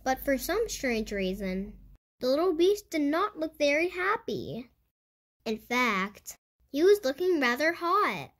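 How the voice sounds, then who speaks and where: A woman reading a picture book aloud to children in an animated storytelling style. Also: sits high, slow. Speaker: children's storybook reader and host. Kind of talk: animated read-aloud narration for kids.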